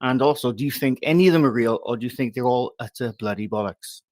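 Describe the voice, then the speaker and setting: A man's voice: mid-range voice, quick pace, a little mellow, no room sound. A man leaving a friendly, direct question for a live show.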